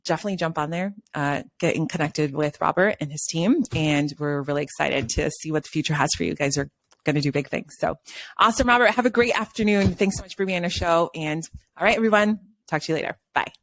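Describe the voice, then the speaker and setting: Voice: mid-range voice, fast talker, fairly bright tone. A podcast host from Brazil with a light Brazilian accent, chatting upbeat and gushing with praise in a casual interview.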